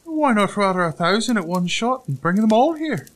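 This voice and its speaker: A man's voice: expressive, measured pace, a little hiss or hum. A man voicing a small, cheeky, boastful tailor in a fairy tale, with a theatrical, show-off manner. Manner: boastful, theatrical, high-flown.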